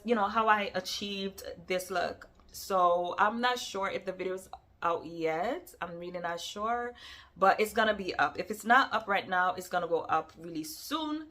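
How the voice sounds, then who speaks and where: A woman from Jamaica with a Jamaican accent tinged with New York, answering viewer questions in a casual, emphatic vlog. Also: medium pitch. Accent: Jamaican mixed with New York. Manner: animated, emphatic, addresses viewers directly.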